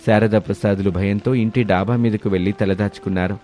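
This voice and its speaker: A man's voice: lowish voice, flat delivery, fast. A man giving scripted narration for a moral storybook tale. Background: background music.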